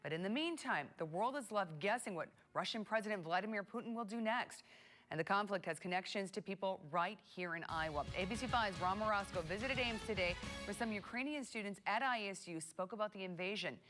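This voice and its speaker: A woman's voice: mid-range voice, animated, a little fast, music in the background. A woman with an American accent reading scripted television news as an anchor in a studio.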